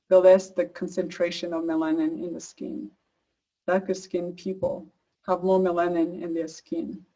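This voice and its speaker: A woman's voice: lowish voice, warm tone, poor audio. Casting: woman, East African accent, earnest advocate delivering a partly read personal speech. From Uganda. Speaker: advocate speaker sharing a personal story.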